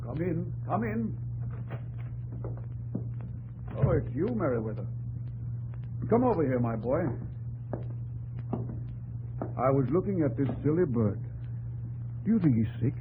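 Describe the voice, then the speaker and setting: An actor playing a thoughtful, fatherly American president in a 1950s radio drama, with a gentle, measured, slightly hesitant manner. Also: a little high, very animated, narrow, tinny audio. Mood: fussy, fond. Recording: noisy old 1950s radio recording.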